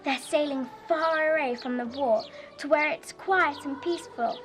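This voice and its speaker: A female performer playing a gentle, earnest young evacuee girl minding a baby in a radio play. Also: high-pitched, moderate pace. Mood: tender. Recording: clear background noise.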